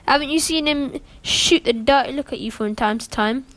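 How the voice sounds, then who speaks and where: A woman acting a cool, wry gentleman with a teasing dark humour in a radio play. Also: sits high, moderate pace, dry recording. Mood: amused.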